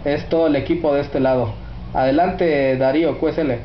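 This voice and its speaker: A man's voice: fairly high pitch, fairly even intonation, moderate pace. Mexican radio operator, man, in a roomy recording. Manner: courteous, uses radio codes and sign-offs. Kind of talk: radio contact chat, reporting signal and equipment. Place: indoors at a radio set. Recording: roomy, dull, faint noise.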